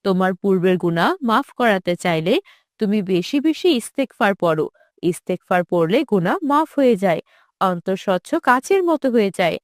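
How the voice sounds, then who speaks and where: A woman playing a gentle, pious mother in a cartoon, soothing and patiently instructive. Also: mid-range voice, lively intonation, fairly quick, no echo, clean studio sound, pitched below the performer's own voice, close to an everyday voice. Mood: gentle, reassuring.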